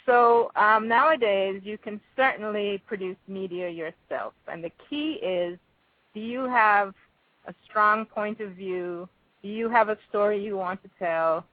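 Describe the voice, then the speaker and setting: A woman's voice: mid-range voice, unhurried, dry recording, narrow, tinny audio. A woman with a Jamaican accent giving thoughtful, conversational answers as an interview guest.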